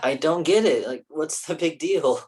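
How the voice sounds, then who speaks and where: A low, husky voice for a defensive and ambitious male screen actor , played by a woman. The delivery is casual and sweary, in the manner of a staged play reading. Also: lively intonation, normal speed, a little mellow. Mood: defensive, angry. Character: defensive, ambitious young male film actor named Nick.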